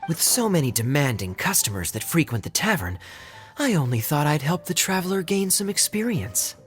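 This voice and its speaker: An actor playing a suave, teasing young man with smooth talk in a game cutscene. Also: a little high, big pitch swings, normal speed, soft background music. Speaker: tavern customer.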